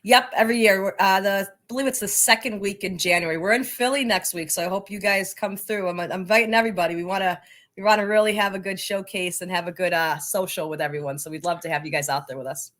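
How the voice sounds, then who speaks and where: A woman's voice: medium pitch, fast, fairly bright tone. An enthusiastic, talkative woman speaking emphatically as a guest in a conversational interview. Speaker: podcast guest, soccer coaching advocate.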